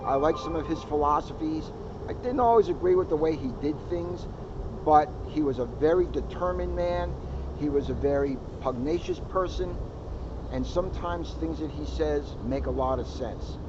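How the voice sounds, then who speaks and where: A man's voice: a little high, moderate pace. A man giving an informal, reflective motivational talk outdoors, earnest and repeating phrases for emphasis, on a noisy, muffled recording. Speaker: police officer and co-presenter.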